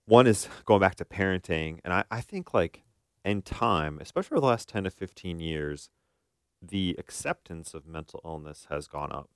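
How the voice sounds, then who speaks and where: A man's voice: deep voice, animated, normal speed, smooth, no room sound, studio quality. A man hosting a podcast in a casual conversational style, thinking aloud and often checking for agreement. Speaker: podcast host.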